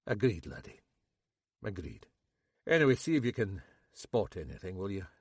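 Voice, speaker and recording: A man's voice: low voice, sing-song, normal speed, slightly rough, dry recording, clean studio sound, heavily acted. A man voicing an older police inspector, firm and bluntly indignant, with a Scottish accent.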